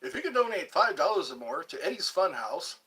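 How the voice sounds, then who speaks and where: A man's voice: sits high, big pitch swings, fairly quick, bright, forceful tone, slightly rough, poor audio. A man in his fifties hosting a rambling livestream, chatting to viewers and breaking into snatches of old pop songs. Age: 50s. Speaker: livestream host. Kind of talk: rambling livestream chat with singing.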